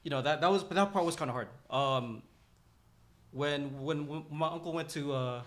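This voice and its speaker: A man talking casually as a podcast guest, telling personal stories in a halting way with repeated phrases. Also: on the high side.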